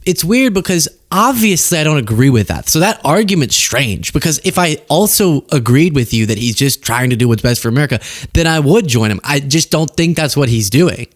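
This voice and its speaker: A man's voice: on the high side, expressive, fairly quick, dry recording, clean studio sound. A man hosting casual political commentary in a wry, conversational way. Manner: wry, conversational, reading messages aloud mockingly. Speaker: political commentator and show host.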